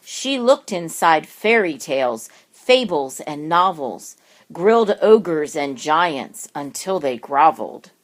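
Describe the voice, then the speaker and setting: A woman's voice: low voice, sing-song. A woman reading a rhyming children's picture book aloud, expressively and with dramatic emphasis on words. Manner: deliberate, dramatic word emphasis.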